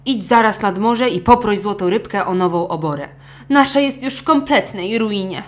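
A woman's voice: mid-range voice, moderate pace, voice pushed up, lightly acted. A woman voicing a greedy, hot-tempered fisherman's wife in a storybook, scolding and demanding emphatically. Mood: angry.

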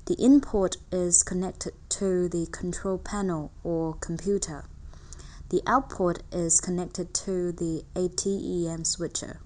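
Slow-reading woman narrating a technical tutorial. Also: on the low side, fairly even intonation, mellow, a little hiss or hum.

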